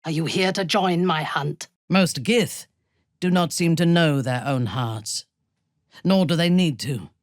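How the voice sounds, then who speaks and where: A woman playing an imperious, ruthless dark elf warrior noblewoman in a fantasy video game, speaking in a commanding, formal, haughty way with a husky, breathy voice. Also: low-pitched, measured pace, no echo, clean studio sound. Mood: stern, haughty.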